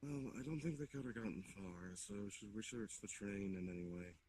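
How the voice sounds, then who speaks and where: A man's voice: lowish voice, expressive, a little fast, darkish tone, slight background noise. A man improvising in an online roleplay, narrating his own actions over voice chat. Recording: muffled narrow-band voice chat, music behind.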